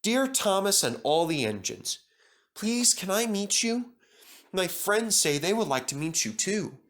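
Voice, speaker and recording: A man reads as a polite little girl in a storybook. The delivery is simple and childlike, lifted in pitch and unhurried. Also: big pitch swings, a bit bright, heavily acted. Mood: hopeful. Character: polite five-year-old girl's letter, read aloud.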